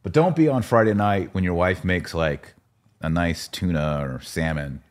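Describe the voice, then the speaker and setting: A man's voice: sits low, normal speed. A man hosting a podcast in a casual, conversational way. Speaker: podcast host.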